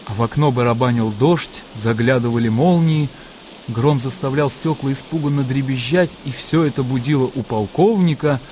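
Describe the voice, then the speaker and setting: A man's voice: mid-range voice, normal speed, darkish tone, a little hiss or hum. A man reading scripted literary narration as the narrator of a radio drama.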